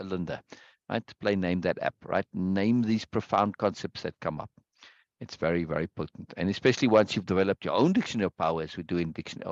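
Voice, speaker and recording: A low-pitched South African man with a rural accent gives an informal, unscripted lesson. He is emphatic and repeats key phrases, checking in as he goes. The sound is close and dry but somewhat dull and lo-fi. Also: no echo. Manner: emphatic, repetitive, often checks with "right?" and "yes?". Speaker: teacher of a personal-growth course.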